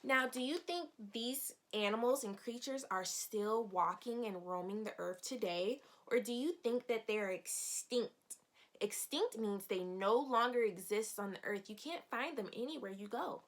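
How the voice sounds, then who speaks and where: A woman with a fairly high voice reads to young children as their teacher. She is expressive and explains things in simple words, often putting questions to her listeners. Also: measured pace, rough recording. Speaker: teacher reading a picture book aloud to young children. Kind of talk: read-aloud storytelling with questions to children.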